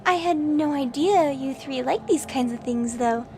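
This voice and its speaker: A woman's voice: high-pitched, measured pace, background noise. An actress playing a shy, sweet, flustered young woman in an audio drama, hesitant and apologetic. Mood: coy, bashful.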